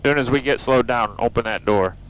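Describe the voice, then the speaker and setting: A man's voice: mid-range voice, brisk, rough recording. An American man flying a small plane, chatting casually and making clipped radio calls over a headset. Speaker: pilot. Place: small airplane cockpit in flight. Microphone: aviation headset. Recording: loud engine drone over headset audio.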